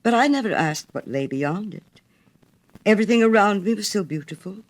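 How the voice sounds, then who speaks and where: A woman plays a noble young prince turned storybook statue, full of sorrow. The voice is low and musical, and the delivery is stately and mournful, with expressive rises and falls. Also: normal speed, close to an everyday voice. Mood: wistful, sorrowful. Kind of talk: storybook character reading.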